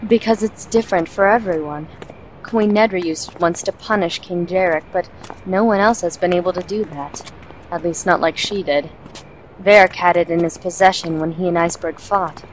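A woman playing a composed, wry assassin, explaining things in a measured way in an audio drama. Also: fairly low pitch, steady tone, a little hiss or hum, lightly acted, rough recording.

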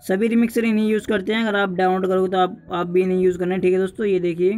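A man's voice: high-pitched, flat delivery, very fast, background noise. A young man narrating a casual tutorial, repeatedly urging and addressing his viewers as friends. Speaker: tutorial YouTuber.

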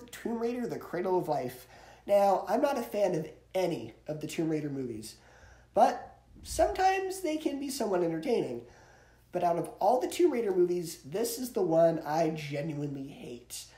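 A man's voice: high voice, dark tone. A young man giving an emphatic, opinionated ranked movie review to camera in a room at home. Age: young adult. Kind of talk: ranked-list video review, opinionated commentary. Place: small indoor room at home. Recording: roomy indoor echo.

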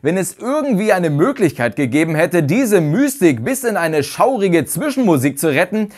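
A man in his thirties narrating a scripted review in a measured, articulate way as the host. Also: sits high, big pitch swings, darkish tone. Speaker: host of a ranking video about audio dramas. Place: small furnished room indoors.

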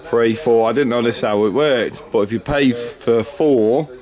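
A man vlogging a fishing trip outdoors by a lake, chatting excitably and bantering with his brother. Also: mid-range voice, measured pace. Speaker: angler vlogger. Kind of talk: casual chat.

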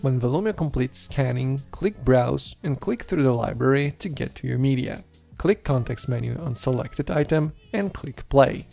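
Male tech presenter for scripted tutorial voice-over, noticeable vocal fry, music bed underneath. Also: medium pitch, lively intonation, normal speed, warm tone. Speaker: tech video host. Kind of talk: scripted how-to voice-over narration. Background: background music.

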